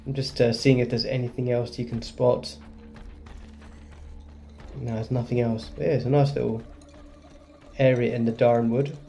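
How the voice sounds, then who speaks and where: A man's voice: mid-range voice, level tone, moderate pace, creaky, long pauses, dark tone, faint music behind. A man giving casual commentary as he plays through a video game. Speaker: gaming video host.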